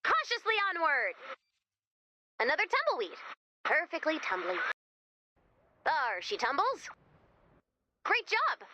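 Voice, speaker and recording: A woman's voice: high-pitched, huge pitch swings, slow pace, long pauses, noisy background, full character voice. A woman voicing a quirky, wisecracking young American guide character in a video game, with a chatty, theatrical manner. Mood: playful encouragement. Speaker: mission guide character addressing the player commander.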